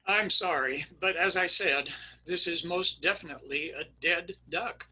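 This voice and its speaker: A man voicing a weary veterinarian who delivers bad news in a flat, matter-of-fact way in a readers theater sketch. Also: fairly high pitch, measured pace, breathy edge, heavily acted, poor audio. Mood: deadpan. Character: weary, deadpan male veterinarian.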